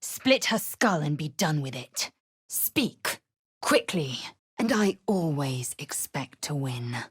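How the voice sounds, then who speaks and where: A proud, ruthless female githyanki warrior for a fantasy video game. Her husky voice sits fairly low and moves slowly and deliberately. She sounds imperious and disdainful, recorded very dry and close. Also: big pitch swings, fairly bright tone, no echo, full character voice. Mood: stern, commanding. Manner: clipped, commanding, disdainful. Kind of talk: scripted video game character lines.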